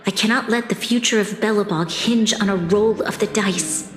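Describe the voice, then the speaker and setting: A woman's voice: medium pitch, moderate pace, lightly acted. A woman playing an earnest, resolute young ruler in a video game, formal, dignified and sincere. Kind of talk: video game cutscene acting. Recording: echoey hall, music behind.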